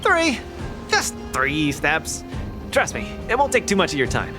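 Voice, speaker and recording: A man's voice: fairly high pitch, wildly swooping pitch, a little slow, music in the background. A young man playing a cocky, upbeat champion fighter in a video game, with a boastful, theatrical, eager delivery. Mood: eager, confident. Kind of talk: animated video game voice acting.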